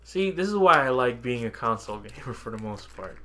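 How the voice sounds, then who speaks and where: A man's voice: medium pitch, very animated, fairly quick, sharp tone, slight background noise. A man co-hosting a podcast, chatting casually and informally about games and films, sometimes swearing or chuckling. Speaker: podcast co-host.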